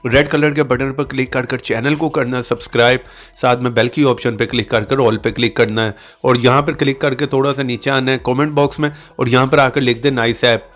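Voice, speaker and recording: A man's voice: medium pitch, steady tone, fairly quick, hardly any pauses, a bit bright. A man hosting a tutorial channel, narrating step-by-step instructions on how to use an app.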